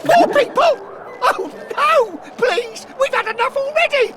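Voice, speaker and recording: High, frantic hapless cartoon soldier. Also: male voice, expressive, a little slow, noisy background. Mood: panicked. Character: panicky, hapless cartoon soldier, quick to gloat. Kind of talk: animated game cutscene acting. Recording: loud music behind the voice.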